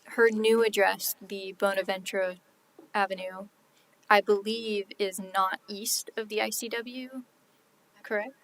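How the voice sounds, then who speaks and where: A woman's voice: medium pitch, flat delivery, unhurried, fairly bright tone, a little hiss or hum, low-quality recording. A woman representing the city at a hearing, carefully checking details with clarifying questions.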